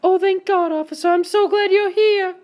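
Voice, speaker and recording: Woman acting very high-pitched anxious, complaining wife. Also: steady tone, normal speed, a little mellow. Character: Katie, complaining, anxious wife who is a nurse. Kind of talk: amateur student radio play acting.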